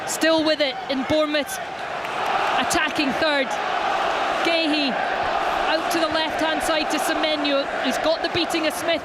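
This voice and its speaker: Play-by-play man, high and urgent, heavy stadium noise under him. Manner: rapid, rising excitement on attacks. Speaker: play-by-play commentator. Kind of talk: live football commentary. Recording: loud stadium crowd and noise. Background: loud stadium crowd.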